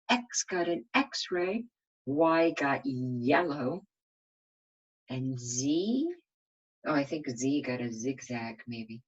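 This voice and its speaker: A woman's voice: deep voice, lo-fi sound. A woman reading a children's storybook aloud like a kindergarten teacher, expressively and with questions for her listeners.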